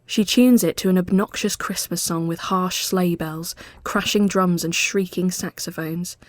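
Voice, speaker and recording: A woman reading a literary ghost story as scripted narration, measured and often hushed as phrases end. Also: fairly low pitch, fairly even intonation, no echo.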